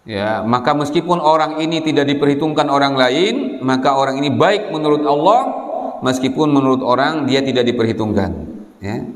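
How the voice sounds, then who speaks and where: A man's voice: mid-range voice, normal speed. A man from Indonesia preaching to students in a didactic way, putting questions to his listeners, in a reverberant hall. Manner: didactic, asks rhetorical questions and prompts listeners to answer. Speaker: Islamic boarding school preacher. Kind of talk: sermon to students. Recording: echoey hall with long reverb.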